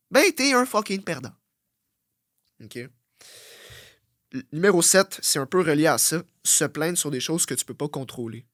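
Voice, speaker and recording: Brash Québécois man, close dry mic. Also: no echo. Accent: Québécois French. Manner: brash, profane, swings from loud outbursts to low muttering. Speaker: fitness coach and podcast host. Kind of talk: blunt motivational monologue.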